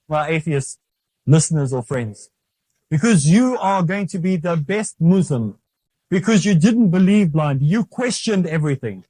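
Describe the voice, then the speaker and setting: Male host of a faith series, slightly high and mellow, who preaches with insistent repetition. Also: no echo, poor audio. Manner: emphatic, repetitive, urging the listener. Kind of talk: preaching religious talk.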